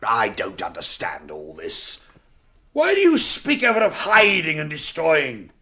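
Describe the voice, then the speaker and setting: An actor playing a proud, impatient warrior lord in a radio fantasy drama, forthright and challenging. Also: male voice, a little high, huge pitch swings, a little slow, sharp tone, exaggerated voice. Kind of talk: classic British radio fantasy drama acting.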